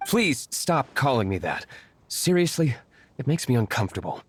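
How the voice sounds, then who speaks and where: A man's voice: mid-range voice, very animated, normal speed, husky, dry recording. An actor voicing a brooding, aloof young nobleman vigilante in a game, formal, curt and dryly serious. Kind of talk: game character dialogue.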